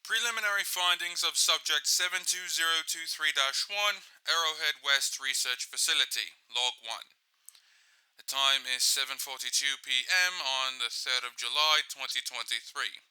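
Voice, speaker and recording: A man acts as a clinical male scientist recording a research log for an audio drama. He is detached, precise and formal. The voice is very bright and clean, recorded dry with no room sound. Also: on the high side, moderate pace, clean tone, dry recording, rough recording. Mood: detached, methodical. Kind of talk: scripted audio log dictation.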